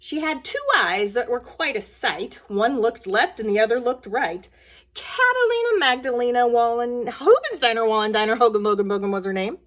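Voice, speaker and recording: A woman's voice: fairly high pitch, sing-song, normal speed, a bit bright, a little hiss or hum. Woman talking simply to children. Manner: plain, simple, child-directed phrasing. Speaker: school nurse reading a story to children. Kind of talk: casual talk to children and read-aloud storytelling.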